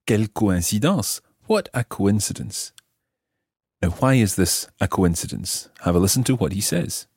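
A man hosting a scripted language lesson, explaining clearly and repeating phrases slowly and deliberately. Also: sits low, dry recording, clean studio sound. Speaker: language teacher and host.

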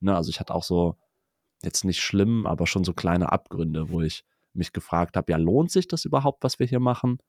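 A man's voice: low voice, normal speed, darkish tone, no echo, studio quality. A man with a cold, co-hosting a podcast, chatting casually and reflectively in long run-on sentences. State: has a cold. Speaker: podcast co-host.

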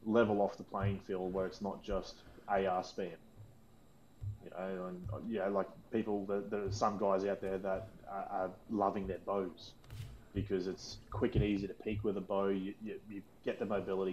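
A man's voice: sits low, level tone, moderate pace, a little mellow, highs cut off, slight background noise. A man co-hosting a casual gaming podcast, teasing his friends and explaining at length. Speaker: podcast co-host.

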